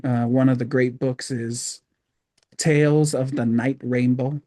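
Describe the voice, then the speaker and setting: A middle-aged man from Hawaii talks through his life story as a podcast guest. His pitch sits in an ordinary middle range, and he rambles loosely, with plenty of ums and uhs. The sound is close and dry, with no room echo. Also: measured pace. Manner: relaxed, rambling, many fillers. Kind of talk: casual storytelling interview.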